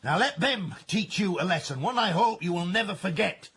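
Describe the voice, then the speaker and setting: An actor playing a stern, pompous workhouse master, formal and exasperated, in a radio drama. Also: male voice, high voice, expressive, moderate pace, creaky, fairly bright tone, slightly rough, exaggerated voice. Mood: fed up, commanding.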